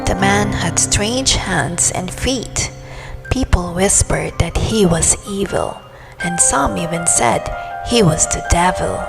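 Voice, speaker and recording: A woman narrating a children's storybook aloud in a measured, deliberate manner, as a storyteller. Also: lowish voice, creaky, over music.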